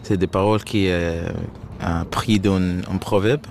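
A man's voice: sits low, steady tone, measured pace, a little mellow, slight background noise. A man, a Shona speaker, answering interview questions hesitantly and searching for his words in French. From Zimbabwe. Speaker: musician guest.

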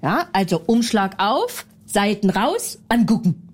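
A woman's voice: mid-range voice, big pitch swings, a little slow, a little hiss or hum. A woman in a comedy sketch, talking bluntly and brashly, ranting, mocking the other person and laughing often.

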